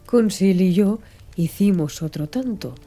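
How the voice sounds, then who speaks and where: A woman narrates an audiobook in a soft, mellow voice. Her pitch moves in lively swings through the phrases, with music playing behind her. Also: measured pace.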